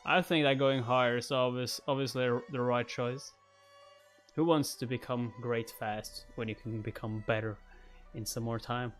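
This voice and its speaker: A man's voice: mid-range voice, flat delivery, normal speed. A young man reading a comic aloud and reacting casually on a live stream. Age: young adult. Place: indoors, at a streaming setup. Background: music.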